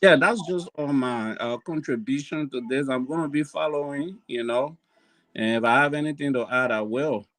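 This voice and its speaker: Guy in a discussion, blunt and dismissive, mid-pitched voice. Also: normal speed, fairly bright tone, rough recording. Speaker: discussion participant. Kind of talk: blunt casual opinion.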